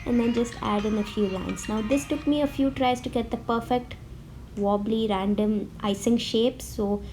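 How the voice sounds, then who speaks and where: A woman with a fairly high, mellow voice walks through a drawing tutorial in a friendly, easy manner. She sounds a little under the weather. Soft music plays faintly underneath. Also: normal speed, low-quality recording. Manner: friendly, relaxed, explaining as she draws. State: slightly sick voice. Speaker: art tutorial host. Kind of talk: step-by-step drawing tutorial voice-over. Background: faint background music.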